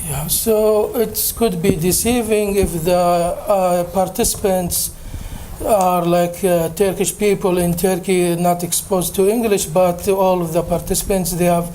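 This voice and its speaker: A man presenting at a conference, answering audience questions hesitantly with many filler pauses. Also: sits high, fairly even intonation, mellow, a little hiss or hum, low-quality recording. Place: conference room.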